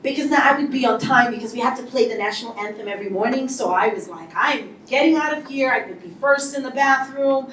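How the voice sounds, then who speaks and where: A woman giving a motivational speech to an audience, telling her story in a lively way and acting out what people said. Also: a little high, far from the mic, slight background noise. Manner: animated storytelling with acted-out quotes. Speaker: speaker. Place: large hall before an audience. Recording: echoey hall, voice from afar.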